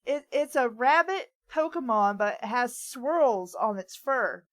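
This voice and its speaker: Woman w a higher voice, casual joking chat on a group reading show, dry close sound. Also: animated, measured pace, dry recording. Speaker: reader on a bad fan fiction read-along show.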